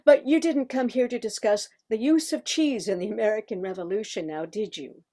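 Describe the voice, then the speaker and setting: A woman's voice: medium pitch, brisk, warm tone, close to an everyday voice. A woman playing a refined, curious professor with a theatrical, arch manner in a comic radio play. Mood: amused, curious. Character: refined, curious woman professor who scorns mundane cheese.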